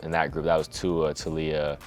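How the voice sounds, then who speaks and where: A teenage boy from Hawaii, a high school quarterback, talking reflectively in a documentary interview with many fillers. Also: monotone, moderate pace, soft background music. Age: teens.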